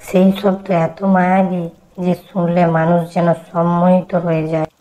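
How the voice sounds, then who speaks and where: A woman voicing a worried old grandmother in a horror cartoon, telling ghost tales slowly and gravely. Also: fairly low pitch, level tone, dark tone, lightly acted, low-quality recording. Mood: grave, ominous.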